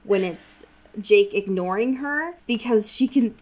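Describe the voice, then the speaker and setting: A woman's voice: mid-range voice, measured pace, darkish tone, slight background noise, rough recording. A woman co-hosting a podcast in a casual book discussion, talking in a wry, informal, slangy way and acting out lines as she recaps. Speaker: podcast co-host.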